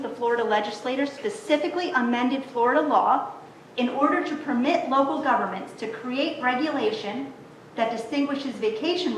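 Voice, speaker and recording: A woman council member reading a prepared statement in a formal public meeting, firm and measured. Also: a little high, far from the mic, a little hiss or hum. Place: city council chamber. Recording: echoey council chamber, off-mic.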